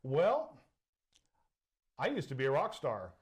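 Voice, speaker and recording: High-voiced middle-aged American man telling stories. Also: takes it slowly. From Southern California, United States. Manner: animated storyteller, acts out quoted dialogue. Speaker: interview guest, sports announcer. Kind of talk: casual chat, personal storytelling.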